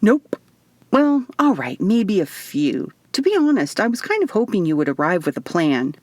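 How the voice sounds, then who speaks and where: A woman voicing a bubbly, excitable, naively earnest otherworldly woman in an audiobook, gushing and emphatic. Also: medium pitch, moderate pace, no room sound. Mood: gleeful.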